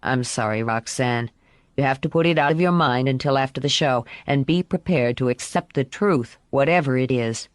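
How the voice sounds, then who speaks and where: A woman voicing a stern, controlled father who speaks in a formal, measured way, as in an audiobook. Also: extremely low pitch, dry recording, studio quality. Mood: grave, gentle. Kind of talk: audiobook character voice.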